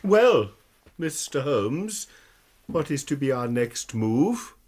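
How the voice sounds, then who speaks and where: Animated, anxious English university tutor. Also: male voice, mid-range voice, takes it slowly, a little hiss or hum. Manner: hesitant, donnish, precise. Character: anxious, courteous male university tutor, fussy and hesitant. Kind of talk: radio drama acting.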